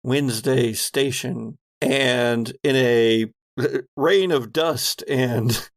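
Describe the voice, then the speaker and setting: A man narrating a tabletop roleplaying game as game master, telling the scene in a halting, deliberate way. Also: mid-range voice.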